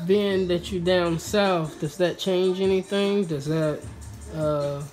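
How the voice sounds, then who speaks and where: A man interviewing a guest, asking questions haltingly with many fillers. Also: high voice, fairly even intonation, darkish tone, faint music behind. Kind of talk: casual interview.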